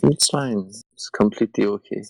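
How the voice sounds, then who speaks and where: A young man with a low, gravelly voice talks loosely on a call. He rambles, swears and jokes his way through crude banter. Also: normal speed, darkish tone, dry recording, rough recording. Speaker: podcast host. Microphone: remote call over shaky wi-fi.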